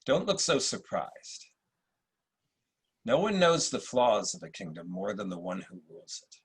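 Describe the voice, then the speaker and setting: A man's voice: fairly low pitch, very animated, a little slow, husky, full character voice. A man playing a supercilious, world-weary Roman emperor in a stately, condescending, old-movie style at a table read. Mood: wry, weary.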